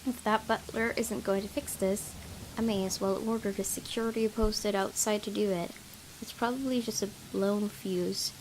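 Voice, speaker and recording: A woman hosting a gaming video, reading visual novel narration aloud in an expressive storytelling way. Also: mid-range voice, normal speed, rough recording. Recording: clear background noise.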